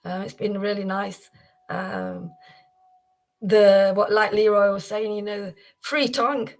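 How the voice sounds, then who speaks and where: A woman's voice: medium pitch, steady tone, takes it slowly. A woman hosting a devotional livestream, talking informally and repetitively to viewers, with many fillers and restarts, addressing them by name. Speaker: host of a devotional livestream gathering.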